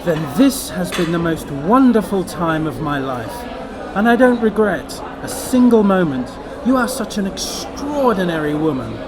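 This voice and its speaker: A man's voice: high voice, very animated, measured pace. A man playing an ardent, wistful lover in an acted short-story reading, effusive and lingering. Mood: pleading, wistful. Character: ardent, wistful male lover of a married actress. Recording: crowd noise in public room.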